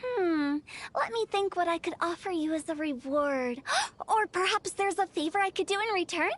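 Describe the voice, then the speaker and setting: A woman's voice: extremely high pitch, lively intonation, moderate pace. A woman voicing a quirky, playful teenage girl funeral director in a video game, glib and theatrical with a morbid cheer. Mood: scheming, chirpy. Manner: theatrical, glib sales patter. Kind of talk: animated video game voice acting. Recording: game music behind the voice.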